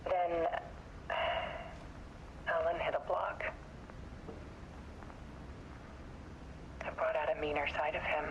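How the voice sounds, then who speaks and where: Gravelly, haunted woman. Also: sits low, level tone. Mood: regretful. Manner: halting, subdued, somber. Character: haunted woman photographer recounting her troubled relationship, weary and bitter. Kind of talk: confessional dramatic game monologue. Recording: dull lo-fi sound with clear noise.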